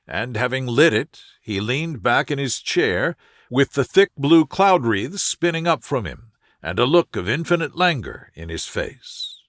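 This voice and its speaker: A man reading a scripted bedtime story as narrator in a soothing, measured way. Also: fairly high pitch, fairly bright tone, dry recording, clean studio sound. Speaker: audiobook narrator.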